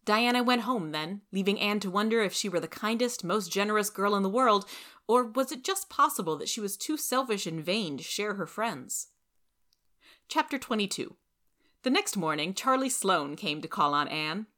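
Bright, animated woman story reader. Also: medium pitch. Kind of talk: scripted storybook narration, expressive.

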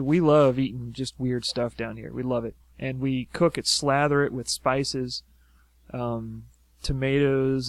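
A man's voice: medium pitch, no echo. A man hosting a podcast, chatting casually and rambling with many fillers. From South Louisiana. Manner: rambling, filler-heavy storytelling. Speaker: podcast host. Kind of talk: casual chat.

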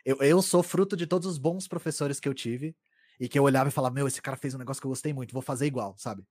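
A young adult man from Greater São Paulo, a content creator and streamer, chatting casually in a talkative, animated way. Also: mid-range voice, dry recording. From Greater São Paulo, Brazil. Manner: talkative, fast, animated storytelling. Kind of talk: casual conversation.

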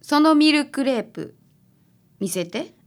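Thirtyish kansai lady pastry maker played by a woman, bright and pushy, slightly high voice, radio drama. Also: animated, measured pace. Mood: suspicious.

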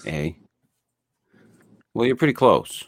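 Low-voiced man in casual, crude podcast banter. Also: sing-song, slow, no echo, studio quality. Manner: laid-back, swears freely, lots of fillers. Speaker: podcast co-host.

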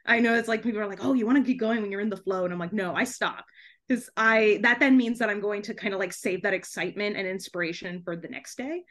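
A young woman chatting casually as a podcast guest, animated and talkative, peppering her speech with "like". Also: medium pitch, quick pace. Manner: chatty, animated, frequent "like" and laughing asides. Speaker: podcast guest, debut author. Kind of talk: casual chat interview.

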